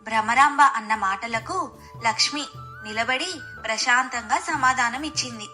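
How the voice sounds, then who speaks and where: A woman's voice: on the high side, a little slow, sharp tone, faint music behind. A woman narrating a scripted moral bedtime story as the storyteller.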